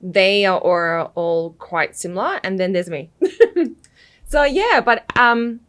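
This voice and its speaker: A woman's voice: medium pitch, lively intonation, takes it slowly, fairly bright tone. A woman with an Australian accent touched by British, chatting as a podcast guest, laughing often and leaning on "you know.". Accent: Australian, slightly mixed with British. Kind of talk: casual interview chat.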